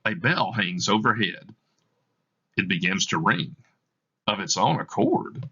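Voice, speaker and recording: A man reading stage directions aloud as scripted narration, with measured, deliberate phrasing. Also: on the low side, lively intonation, sharp tone, husky, muffled recording. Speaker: reader of a play for students.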